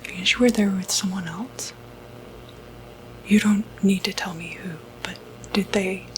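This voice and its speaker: A woman whispering an ASMR roleplay as a sympathetic, non-judgmental doctor, speaking gently with reassuring, hesitant pauses. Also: mid-range voice, steady tone, slight background noise, low-quality recording. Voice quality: breathy, unvoiced whisper. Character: sympathetic, non-judgmental doctor who treats demonic possession.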